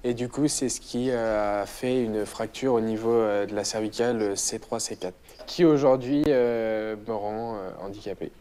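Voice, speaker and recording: A man's voice: lowish voice, moderate pace, few pauses, dark tone. Hesitant young man in his twenties. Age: 20s. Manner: hesitant, many fillers. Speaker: interviewee. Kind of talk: hesitant personal testimony.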